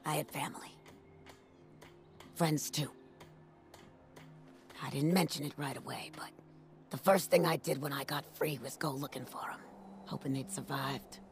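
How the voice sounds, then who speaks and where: Blunt, tough ghoul woman from Pittsburgh for a game, very low and gravelly. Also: fairly even intonation, measured pace, long pauses, soft background music. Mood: somber. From Pittsburgh, USA. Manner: blunt, wry, plain-spoken. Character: tough gruff ghoul woman, factory worker survivor. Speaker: game character. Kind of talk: video game dialogue.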